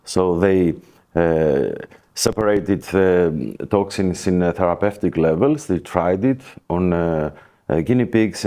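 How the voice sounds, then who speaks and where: A man with a Greek accent explaining things as a guest expert in a podcast interview, in halting, hesitant phrases. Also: low voice, warm tone. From Greece. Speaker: plastic surgeon, guest expert.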